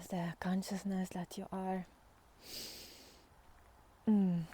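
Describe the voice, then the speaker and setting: A woman with a mellow, relaxed voice talks slowly, as a spiritual guide would to her followers. Her delivery is tender and halting, with pauses between short phrases. It feels intimate and unscripted, at times close to a whisper. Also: medium pitch, a little hiss or hum. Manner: tender, halting, with long pauses, sighs and soft laughs. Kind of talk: spontaneous, intimate spiritual talk to viewers. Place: outdoors in nature, walking by foggy fields.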